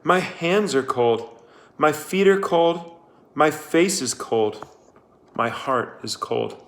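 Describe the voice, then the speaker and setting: A man voicing a lonely, half-crazed middle-aged female outlaw in a storybook, mournful and measured. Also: fairly high pitch, lively intonation, fairly bright tone, pitched above the performer's own voice, heavily acted. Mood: mournful longing.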